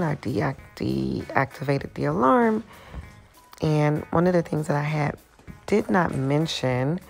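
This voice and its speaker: A woman's voice: rumbling low, creaky. A woman giving a casual, rambling walkthrough of how she cleans her salon, often losing her train of thought.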